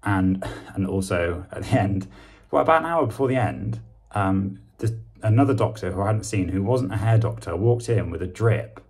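A young man with a British accent talking casually to camera in a halting, understated way, with a husky, gravelly voice. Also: low-pitched, lively intonation, a little mellow. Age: young adult. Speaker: vlogger. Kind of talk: casual vlog talk to camera.

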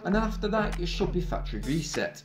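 A man's voice: sits high, very animated, fast. A man with an English accent, narrating a casual step-by-step tech tutorial in an upbeat, chatty way. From England. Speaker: tech video host. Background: background music.

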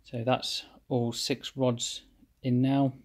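A man calmly explaining his engine rebuild step by step while he works at a cluttered workshop bench. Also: medium pitch. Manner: methodical, plain explanatory, pauses mid-phrase. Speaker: home mechanic rebuilding an engine. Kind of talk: hands-on workshop walkthrough.

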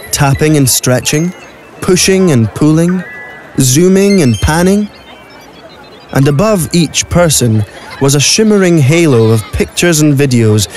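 A man's voice: fairly high pitch, a little mellow. A man reading scripted narration for an audio drama.

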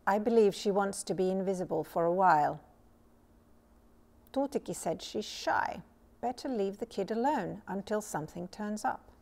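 Woman reading as a calm motherly troll from a kids' storybook, soothing, medium pitch. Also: moderate pace, creaky. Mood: calm, assured.

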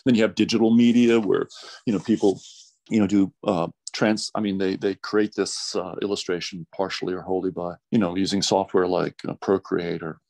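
A man's voice: low-pitched, steady tone, moderate pace, gravelly, a little mellow. A man talking casually as an interview guest, rambling and thinking aloud as he explains. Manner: rambling, thinking aloud, frequent "you know". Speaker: translator, podcast guest.

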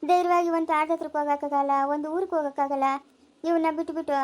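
Casting: woman as practical old village granny, high-pitched, bluntly comforting, rural southern Karnataka Kannada accent. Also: steady tone, racing, close to an everyday voice. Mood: consoling, resigned. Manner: blunt, fatalistic, consoling. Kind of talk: village drama acting.

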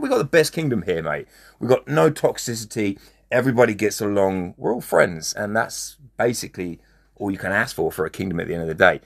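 A man with a hoarse, fading voice, chatting casually as he comments on a mobile strategy game and gives friendly shout-outs. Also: lowish voice. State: losing his voice, hoarse. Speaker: gaming channel host.

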